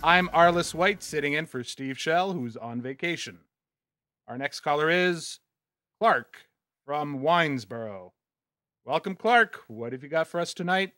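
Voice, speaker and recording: A sardonic talk radio host, acted by a man, with a fairly high, forceful voice. He is punchy and dismissive, recorded dry and close. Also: expressive, measured pace, dry recording. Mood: brisk, professional. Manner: sarcastic, punchy.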